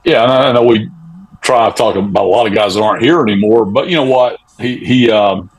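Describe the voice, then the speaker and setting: A man on a sports talk show, giving unscripted analysis in a halting, conversational way. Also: on the low side, breathy edge, rough recording. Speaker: sports radio show panelist.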